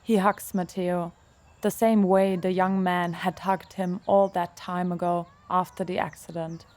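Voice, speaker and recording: A woman reading scripted narration for an audio drama in a gentle, measured way. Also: lowish voice, level tone.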